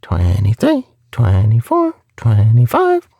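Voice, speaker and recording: A woman's voice: a bass voice, huge pitch swings, takes it slowly, dark tone, breathy edge, dry recording, muffled recording, full character voice. A woman voicing a scheming, sarcastic AI villain in a video game, chatty and mock-polite in a theatrical way. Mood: taunting. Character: female scheming AI villain, breezily cheerful, sarcastic and murderous. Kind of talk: video game character dialogue.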